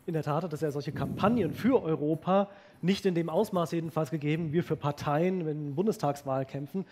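A man's voice: on the high side, a little fast, noisy background. A middle-aged man moderating a stage panel, asking long questions with frequent hesitations. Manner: hesitant questioning, many fillers. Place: stage of a lecture hall. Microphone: handheld microphone.

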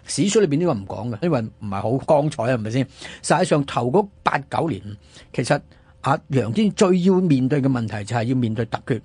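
Animated Hong Kong man, slightly husky. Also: medium pitch, brisk, no echo. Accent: Hong Kong Cantonese. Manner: animated, asks rhetorical questions. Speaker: radio history programme host. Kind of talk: conversational history storytelling. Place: radio studio.

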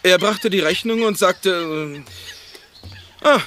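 An actor playing a casual, forgetful gentleman in a retro crime radio play. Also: high-pitched, slow, exaggerated voice. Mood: offhand. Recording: clear background noise.

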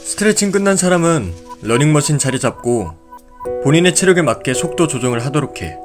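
A man's voice: on the high side, expressive, brisk, music in the background, lightly acted. A man voicing a stern young man who gives curt orders.

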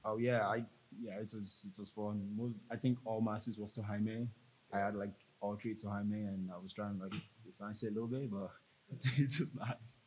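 A young man, a college basketball player, answering reporters cheerfully and haltingly at a press table with a desk mic. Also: on the low side, steady tone, a little mellow, slight background noise, rough recording. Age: young adult. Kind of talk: postgame press conference answers. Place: press conference room, seated at a table. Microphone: desk mic on a stand.

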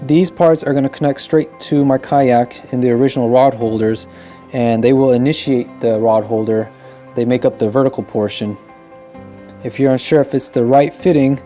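A man's voice: medium pitch, mellow. A man giving scripted how-to narration as a DIY host, in a plain-spoken, instructional manner.

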